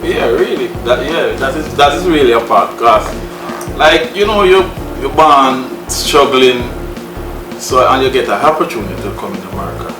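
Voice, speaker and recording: A man's voice: mid-range voice, expressive, moderate pace. A man from Jamaica with a Jamaican accent, chatting casually as a guest, laughing often and repeating phrases. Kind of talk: casual conversation. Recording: echoey room, distant mic, music.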